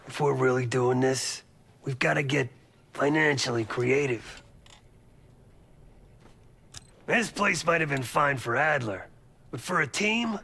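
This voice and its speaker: A gruff American veteran operative in a video game. His voice is husky and full of gravel, and he briefs his team bluntly, with salty, wry humor. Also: medium pitch, sharp tone, heavily acted. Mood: wry, resolute. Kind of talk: video game dialogue acting.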